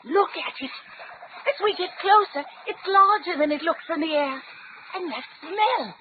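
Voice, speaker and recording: An actress playing a determined, commanding heroine in an old-time radio adventure, delivered with earnest melodrama. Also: female voice, very high voice, expressive, unhurried. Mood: awestruck. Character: determined, dramatic young heroine, commanding and awestruck. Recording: tinny band-limited radio drama, background noise.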